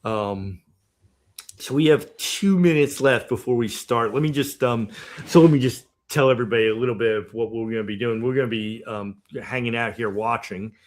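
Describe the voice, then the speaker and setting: A man hosting a watch party for his music TV show, talking casually with lots of fillers and restarts. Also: mid-range voice. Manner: halting, many fillers and restarts. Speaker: TV show host. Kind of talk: casual livestream talk.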